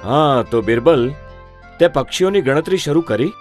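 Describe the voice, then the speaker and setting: A man's voice: fairly high pitch, expressive, measured pace, faint music behind. A man voicing Emperor Akbar, a stately, curious ruler speaking in formal courtly words in a cartoon story.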